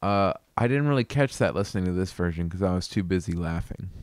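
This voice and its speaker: A man hosting a casual video, chatting about an album in a relaxed, amused, rambling way. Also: low-pitched, fast talker, a little hiss or hum. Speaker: video host reviewing an album. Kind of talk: casual commentary.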